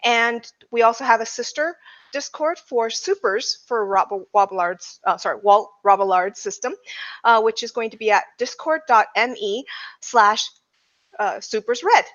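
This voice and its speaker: A woman's voice: on the high side, lively intonation, measured pace, a bit bright. A woman hosting a podcast in a casual discussion style, recorded with a somewhat dull, lo-fi sound. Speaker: podcast host.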